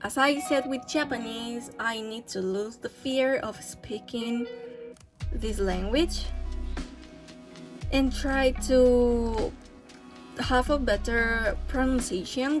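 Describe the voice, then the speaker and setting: A young woman who is not a native English speaker talks slowly and casually, pausing often with fillers and little restarts. Her voice sits a little high. Clear background music runs beneath her speech. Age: young adult. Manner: hesitant, many fillers and restarts, soft laughs. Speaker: language-learning vlogger. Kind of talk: casual vlog talk.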